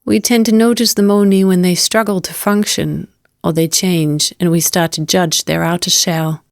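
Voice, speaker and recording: A woman guiding a meditation as a teacher, speaking in a gentle, unhurried and invitational manner. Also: fairly low pitch, fairly even intonation, gravelly, no room sound, clean studio sound. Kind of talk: guided meditation narration.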